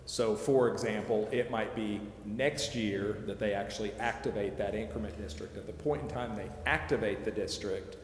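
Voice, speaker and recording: A man giving a measured, methodical explanation at a public meeting, answering questions with careful qualifiers. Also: fairly low pitch. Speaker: presenter answering committee questions on project financing. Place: large echoey council chamber. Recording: echoey hall reverb, clear background noise.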